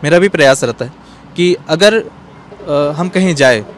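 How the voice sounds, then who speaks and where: A man's voice: on the high side, lively intonation, measured pace. A man in his thirties answering earnestly as an interview guest, outdoors into a handheld microphone. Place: outdoor bench in an open courtyard. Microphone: handheld microphone held out by interviewer. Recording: faint outdoor urban noise.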